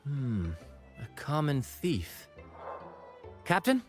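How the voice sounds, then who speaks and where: A man's voice: medium pitch, huge pitch swings, very slow, exaggerated voice. An actor voicing a flamboyant, charming male performer in a video game, with game music behind him. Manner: flamboyant, grandiose. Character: swashbuckling theatrical male performer, charming and bold.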